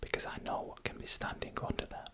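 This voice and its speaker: A narrator tells eerie first-person stories in a breathy, unvoiced ASMR whisper, with slow and deliberate suspense. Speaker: ASMR storyteller.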